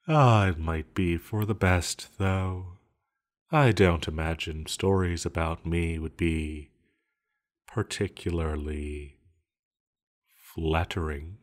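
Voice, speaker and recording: A man playing an ancient banished sorcerer villain in an intimate audio roleplay, musing calmly and haltingly with quiet menace. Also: low voice. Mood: wry, wistful. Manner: slow, halting, musing with sighs and hums.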